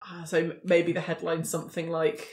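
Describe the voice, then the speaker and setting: Roomy-sounding tabletop player, medium pitch, casual improvised storytelling. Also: completely flat delivery, normal speed, darkish tone.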